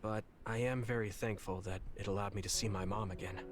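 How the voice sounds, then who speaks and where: A man's voice: fairly low pitch, fairly even intonation, fairly quick, close to an everyday voice. A man playing a friendly, open-hearted young male hero in a video game, with a sincere, eager delivery. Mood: earnest. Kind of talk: English video game cutscene voice acting. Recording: game audio with background music.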